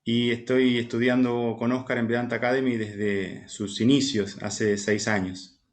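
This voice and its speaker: A man's voice: medium pitch, level tone, measured pace. A man in his forties with an Argentine accent, giving a brief self-introduction on a video call. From Puerto Madryn, Argentina. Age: 40s. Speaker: student guest, yoga teacher.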